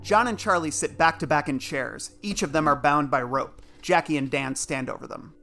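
A man's voice: a little high, moderate pace, gravelly. A man narrating a scripted audio drama, reading out the action as a storyteller. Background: music.

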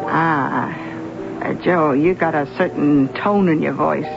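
A woman's voice: low-pitched, takes it slowly, gravelly, exaggerated voice. A woman acting in a 1970s American radio drama, playing a folksy, knowing older lunch-counter proprietor who teases. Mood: teasing. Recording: muffled radio recording, music behind.

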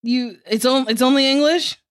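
A woman co-hosting a podcast in casual chat, slightly tipsy from drinking, frank and swearing freely with animated asides. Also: high-pitched, normal speed, smooth, no room sound. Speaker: podcast co-host.

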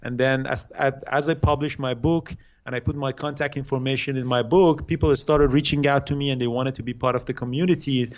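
A man in his thirties from Iran with an Iranian accent, answering interview questions haltingly with many fillers and restarts. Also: mid-range voice, fairly even intonation, dull audio. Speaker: guest day trader.

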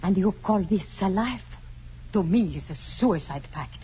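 A woman's voice: medium pitch, expressive, normal speed, close to an everyday voice. An actress playing a blunt, disapproving woman friend who scolds emphatically in a theatrical radio drama style. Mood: stern, disapproving. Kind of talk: 1950s radio drama acting. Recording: muffled, noisy old radio broadcast.

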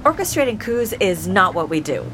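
Actress as principled female operative, held captive. Audio drama; guarded, dry, defiant, with lively swings of pitch. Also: normal speed, background noise, natural voice. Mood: baffled, protesting.

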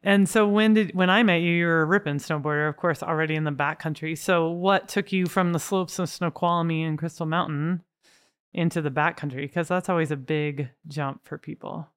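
A woman hosting a podcast interview, upbeat and friendly and quick to laugh, chatting in person by a fireplace. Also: deep voice, fairly even intonation, clear, resonant tone. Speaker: podcast host. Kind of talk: casual interview chat. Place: indoors by a fireplace.

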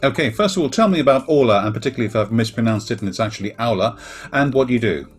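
A man's voice: mid-range voice, expressive, rapid-fire, soft background music. A man hosting a technology podcast, introducing the show and his guest with dry, wry asides. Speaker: podcast host. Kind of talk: podcast intro and interview questions.

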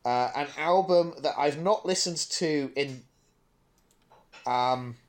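A man's voice: on the high side, slow. A man co-hosting a podcast, chatting casually and discursively, thinking aloud with hesitant asides. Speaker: podcast co-host.

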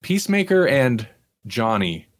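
A man's voice: mid-range voice, sing-song, measured pace, no echo, studio quality. A man co-hosting a podcast, chatting casually about pop culture. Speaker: podcast co-host.

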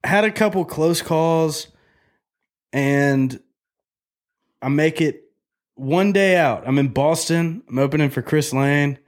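A man's voice: fairly high pitch, takes it slowly, pauses often. A man in his twenties hosting a podcast, telling a long story in a loose, joking way and swearing freely. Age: 20s. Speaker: podcast host. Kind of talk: casual chat.

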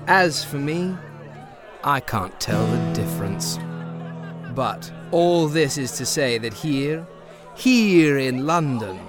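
An actor playing a pompous, bumbling Victorian gentleman detective in a comedic audio drama, wordy and precise. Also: medium pitch, lively intonation, slow pace, over music, crowd noise. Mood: grandly musing.

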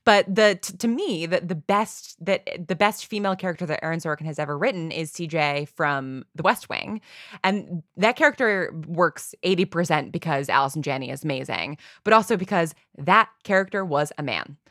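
A woman's voice: fairly low pitch, animated, normal speed, fairly bright tone, dry recording, studio quality. A woman in a casual, opinionated discussion, speaking emphatically and informally with plenty of "like". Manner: emphatic, informal, peppers speech with "like". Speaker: podcast guest, audio drama writer.

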